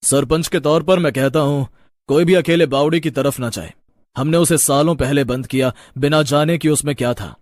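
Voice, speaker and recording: A man voicing a stern village headman in a horror story, measured and authoritative as he takes charge. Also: a little high, no room sound, studio quality, natural voice. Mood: firm.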